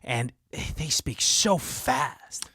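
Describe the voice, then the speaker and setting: A man's voice: medium pitch, expressive, drawn-out words, sharp tone, slightly rough, no room sound, studio quality. A young man co-hosting a podcast, talking casually and candidly and laughing easily. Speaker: podcast co-host.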